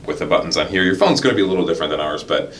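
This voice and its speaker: Male tutorial presenter, low register, casual step-by-step instructional delivery, faint rumbling noise behind the voice. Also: animated, racing. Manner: step-by-step explaining. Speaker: product demonstrator.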